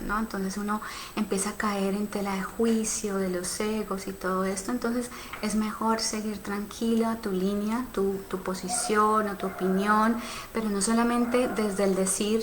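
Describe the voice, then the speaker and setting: A woman's voice: medium pitch, background noise. A woman in her thirties from Cali with a Colombian accent, hosting a casual live stream and answering viewer comments in a reflective, thinking-aloud way with many fillers and tag questions. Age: 30s. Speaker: live stream host on sexuality.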